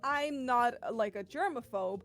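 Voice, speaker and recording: A woman's voice: fairly high pitch, normal speed, fairly bright tone, faint music behind. A young woman guesting on a casual stream, rambling and giggling as she corrects herself. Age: young adult.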